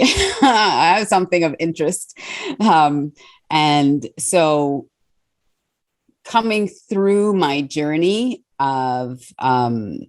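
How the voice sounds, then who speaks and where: Low-pitched middle aged woman coach, thoughtful, lots of ums. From born in Trinidad, raised in Australia. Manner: reflective, thoughtful with many fillers. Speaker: guest, confidence coach. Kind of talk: interview answers.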